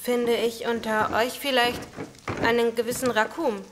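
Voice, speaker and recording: A woman plays a courteous fantasy messenger in a radio play. Her voice is fairly high and her speech formal and matter-of-fact, over clear background noise. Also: little pitch movement, unhurried, close to an everyday voice. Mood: polite, businesslike. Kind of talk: formal audio drama acting.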